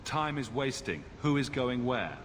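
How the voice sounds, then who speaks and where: A man's voice: mid-range voice, very animated, unhurried, big-room sound, crowd noise. A man playing a wry, skeptical adventurer for hire in a fantasy video game, terse and challenging. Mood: impatient.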